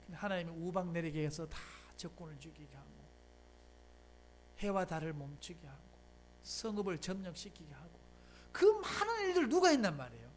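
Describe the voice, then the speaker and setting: Pastor, lively up-and-down sermon delivery, punchy rhetorical questions, church setting. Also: mid-range voice, a little fast, slight background noise. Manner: emphatic rhetorical questions, conversational preaching. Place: church sanctuary.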